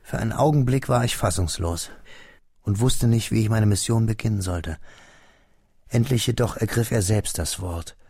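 A man narrating a literary radio drama in a measured, reflective way, as a young man recalling a friend, in a husky, creaky voice. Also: lowish voice, a little mellow, dry recording, clean studio sound. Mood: searching. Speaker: first-person narrator.

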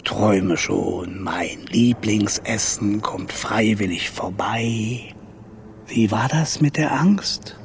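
An actor playing a huge, drowsy, grumpy old owl professor with a creaky, rasping, breathy voice in a children's radio play. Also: male voice, fairly low pitch, slight background noise. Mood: sleepy muttering. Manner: slow, drawn-out, muttering, hooting interjections.

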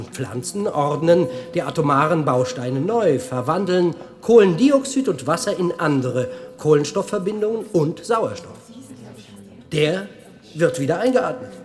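An actor playing an intense, musing physicist in a radio drama, lecturing and speculating with halting rhetorical questions. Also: male voice, fairly high pitch, darkish tone, close to an everyday voice. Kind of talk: German science-fiction radio drama acting.

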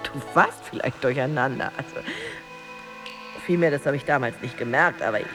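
A jealous married woman in a radio drama monologue. She is bitter and taunting toward her rival. Her delivery is theatrical and emotionally volatile, moving between hushed menace and open outburst. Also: rumbling low, normal speed, over music. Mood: defensive.

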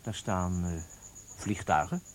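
An actor playing a curious, canny visitor in a Dutch radio drama, husky and hesitant, politely impressed as he probes. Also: sits low, slow pace. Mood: hesitant, observing. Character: curious, canny male visitor flattering his host.

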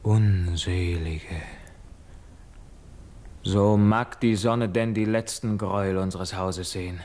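A man's voice: low-pitched, fairly even intonation, slow, darkish tone. An actor playing a guilt-tormented, haunted man in a classical verse radio drama, with brooding, anguished theatrical declamation. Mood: grim, rejecting.

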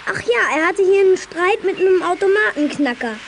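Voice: extremely high pitch, animated, brisk, a bit bright, slight background noise, heavily acted. A performer playing an eager, chatty ten-year-old boy in a children's radio play. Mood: earnest, chatty. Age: child character aged ten. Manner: artless, blurting, hesitant when recalling.

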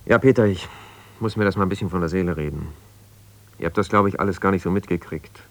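Aggrieved lawyer husband, lowish voice, self-justifying, colloquial, quarrelsome, naturalistic radio drama. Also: male voice, fast. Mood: subdued, confiding. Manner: argumentative, exasperated, colloquial. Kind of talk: naturalistic radio play, one side of a phone call.

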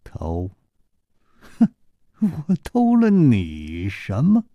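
A man voicing a suave, roguish martial-arts hero and master thief in an audiobook, playful, mocking and unhurried. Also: on the low side, huge pitch swings, no echo, highs cut off, heavily acted. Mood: mock puzzled.

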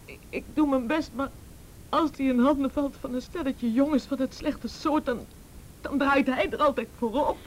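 A woman plays an anxious, pleading mother in a radio drama. Her voice is high and emotional, halting as she defends herself. The recording carries a faint rumbling noise. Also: fairly even intonation, lightly acted, poor audio. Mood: anxious, defensive. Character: distraught, defensive, pleading mother of teenage son. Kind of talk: Dutch radio play acting.

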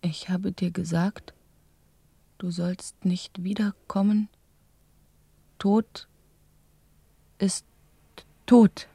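An actress playing a haunted woman in a literary radio drama, forbidding and halting, speaking word by word, often in a whisper. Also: medium pitch, fairly even intonation, creaky, mellow, slightly rough, no echo, full character voice. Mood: hushed, forbidding.

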